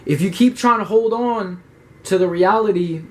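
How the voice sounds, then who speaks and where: A young man giving a loose motivational talk to camera, full of slang, swearing and "right?" checks, slowing down and pressing harder on his key points. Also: sits high, slight background noise. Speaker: motivational YouTuber. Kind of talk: casual motivational monologue.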